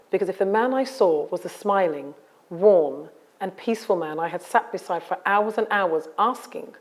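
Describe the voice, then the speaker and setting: A woman author speaking in a measured, articulate and solemn way about personal experience. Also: fairly low pitch, darkish tone. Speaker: author and guest. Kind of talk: reading a memoir aloud, then interview talk.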